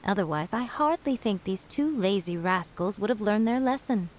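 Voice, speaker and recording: A woman's voice: mid-range voice, animated, normal speed, slight background noise, close to an everyday voice. A woman voicing a sly, wise fox-spirit shrine priestess in a video game, composed and lightly teasing as she lectures.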